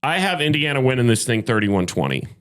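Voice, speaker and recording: A man's voice: mid-range voice, very animated, fast, a bit bright. Man giving opinionated sports analysis. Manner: opinionated, emphatic, cites statistics. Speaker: sports podcast host. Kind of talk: casual sports debate and analysis.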